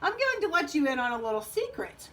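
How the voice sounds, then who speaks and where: A woman acts out a storybook wolf, high and swooping in voice. The wolf is hungry and boastful, yet offers knowing, friendly advice with drawn-out emphasis. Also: sing-song, a bit bright.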